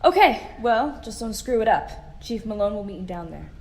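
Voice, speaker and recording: A woman playing a stern but fair starship commander in a sci-fi audio drama, speaking in a brisk, dry way. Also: medium pitch, sing-song, darkish tone. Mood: brisk exasperated.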